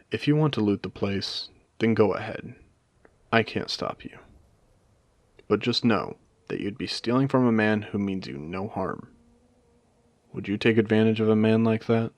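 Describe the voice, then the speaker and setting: A man playing a wry, courteous AI that was once human, speaking formally and deliberately in a dramatic audio roleplay. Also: on the low side, creaky, no room sound, exaggerated voice. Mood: wry, guarded. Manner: articulate, theatrical, deliberate, formal wording. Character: wry, courteous male AI, once human, haunting a ruined casino. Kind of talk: dramatic audio roleplay monologue addressed to a listener.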